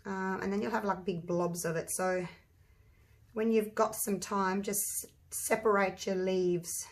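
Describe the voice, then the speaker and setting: An Australian woman talks in a croaky, husky voice, chatty and rambling as she explains each step of her craft project. Her pitch sits in an ordinary middle range for a woman. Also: fairly even intonation, a little slow. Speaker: resin craft channel host. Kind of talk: casual craft tutorial talk.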